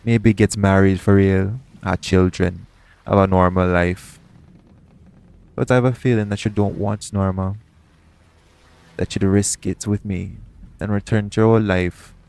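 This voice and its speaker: Casting: male actor as a roguish yet protective pirate captain, low-pitched, colloquial sea-dog speech, gently reassuring, for intimate audio roleplay. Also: fairly even intonation, a little slow, creaky, long pauses, clear, resonant tone. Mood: tender, reassuring. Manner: colloquial seafarer's dialect, speaking tenderly to one listener.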